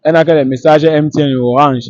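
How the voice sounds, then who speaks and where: Guy doing street interviews, highish voice, repeats himself a lot, pretty dry sound. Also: steady tone, darkish tone, dry recording, lo-fi sound. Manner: repetitive, halting phrasing.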